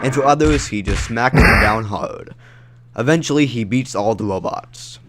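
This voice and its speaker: A man narrating the action of an amateur superhero audio drama in an even storytelling voice. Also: medium pitch, normal speed, very noisy.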